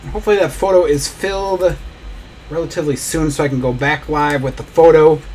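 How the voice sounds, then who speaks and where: A man's voice: fairly high pitch, fairly even intonation, fairly quick, a little mellow, slight background noise. A man hosting a live trading card break, opening packs and rattling off player names as he goes. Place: small indoor studio desk.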